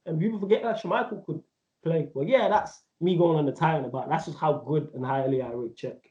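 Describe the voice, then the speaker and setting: A man's voice: a little high, fairly quick, darkish tone. A man in his thirties co-hosting a casual football debate, rambling and emphatic, full of slang. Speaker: podcast co-host. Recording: echoey, dull audio.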